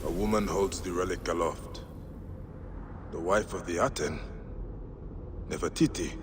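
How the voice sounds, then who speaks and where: Bayek, a stern warrior with a very deep, low voice, speaks with a dry, teasing calm. Clear ambient noise hums under the game audio. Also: male voice, measured pace. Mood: pondering. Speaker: game protagonist. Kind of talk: video game cutscene acting.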